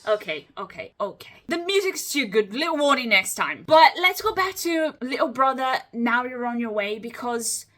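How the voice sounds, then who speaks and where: A woman's voice: on the high side, big pitch swings, normal speed, hardly any pauses, a bit bright, faint music behind. A woman hosting a reaction video, chatting casually, breaking down what she hears and often laughing. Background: faint musical soundtrack playing.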